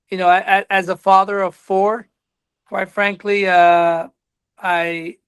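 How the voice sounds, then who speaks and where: A man's voice: high-pitched, fairly bright tone, clean tone, no room sound. A man in his forties from Colombia, raised in Texas, with a slight Texas accent, a college soccer coach telling his story earnestly as a podcast guest. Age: 40s. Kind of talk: podcast interview.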